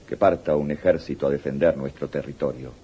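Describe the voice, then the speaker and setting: An actor playing a suspicious, scornful supreme dictator in a radio drama, giving orders in a deliberate, imperious way. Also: male voice, a bass voice, fairly even intonation, slight background noise. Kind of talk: 1980s radio drama acting. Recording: muffled old radio broadcast tape.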